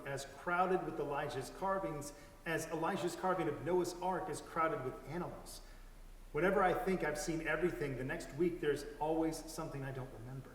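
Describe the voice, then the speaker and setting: A man narrates a story in the first person with a somewhat high voice for a male. He is recorded at a distance in a reverberant hall, over a faint hiss. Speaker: reader in a dramatic reading.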